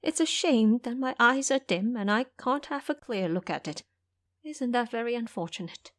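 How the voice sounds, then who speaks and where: A woman's voice: on the high side, lively intonation, moderate pace, pitched above the performer's own voice, lightly acted. An elderly, covetous abbot from a fantasy audio drama, voiced by a woman. He pleads in a slightly raised, plaintive voice, sly and full of fawning politeness. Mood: tearful pleading. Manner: obsequious, plaintive.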